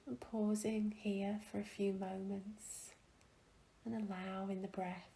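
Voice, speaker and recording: A woman guiding a meditation in a gentle, soothing, hushed and breathy voice, leaving long pauses between phrases. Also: mid-range voice, level tone, poor audio. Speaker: meditation guide. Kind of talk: guided meditation, soothing and slow.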